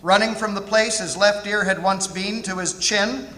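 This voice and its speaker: A man's voice: high voice, steady tone, moderate pace, pushed and bright. A man playing a war veteran private detective, narrating his case in a scripted radio play on a theatre stage. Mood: storytelling. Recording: echoey theatre hall, music behind.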